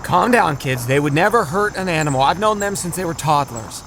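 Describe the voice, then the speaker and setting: A man's voice: a little high, brisk, very noisy. An actor playing a good-natured, slightly hapless middle-aged father in an audio drama for children. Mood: calming. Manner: calming, reasoning.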